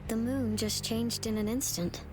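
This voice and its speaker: An actress playing a grave, steadfast military commander in a video game, solemn and authoritative. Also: female voice, on the high side, steady tone, normal speed, close to an everyday voice, rough recording. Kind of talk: scripted game dialogue. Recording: game music behind voice.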